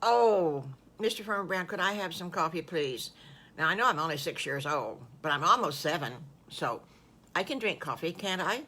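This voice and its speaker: A woman's voice: fairly low pitch, animated, moderate pace, bright, forceful tone. An older woman voicing a six-year-old boy wolf in a bedtime story, wide-eyed and full of questions. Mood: excited.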